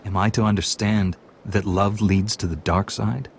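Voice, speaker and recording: An actor playing an earnest, steadfast Jedi apprentice in a video game, composed and formal. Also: male voice, fairly low pitch, steady tone, normal speed, slight background noise, lightly acted. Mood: dry composure. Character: earnest, steadfast young Jedi Padawan hero.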